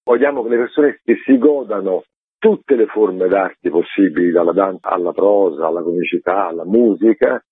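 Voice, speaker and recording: A man's voice: sits low, moderate pace, slightly rough, dry recording. A man giving spontaneous answers as a theatre director in a radio interview. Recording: narrow, tinny phone-like line, dry.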